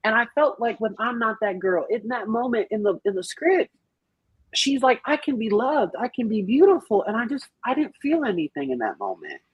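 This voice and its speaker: A woman's voice: a little high, animated, moderate pace, husky, no echo, lo-fi sound. A woman on a podcast panel telling anecdotes in casual chat, emphatic and dramatic.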